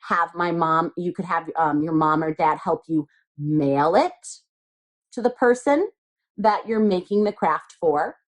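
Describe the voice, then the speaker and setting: A woman teacher leading young children step by step through a craft, explaining simply and patiently with repeated phrases and little questions. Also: mid-range voice. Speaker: elementary school craft teacher.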